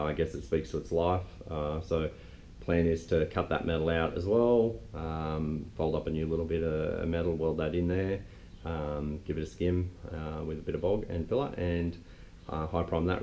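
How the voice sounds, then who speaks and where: A man's voice: a bass voice, mellow, a little hiss or hum. A man, nasal from a flu, talking through his car restoration in a laid-back, rambling way. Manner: laid-back, rambling with many ums and uhs. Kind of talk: casual workshop vlog talk.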